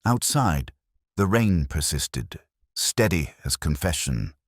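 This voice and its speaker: Very deep-voiced man narrating a mystery. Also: very animated, dry recording, clean studio sound. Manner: measured, deliberate, brooding. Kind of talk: scripted first-person detective narration.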